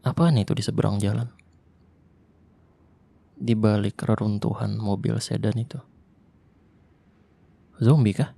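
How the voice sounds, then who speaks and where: Gravelly young man. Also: on the low side, fairly even intonation, a little slow, pauses often. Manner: casual slangy speech, tense and hushed at times. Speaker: voice actor. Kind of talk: ASMR roleplay monologue.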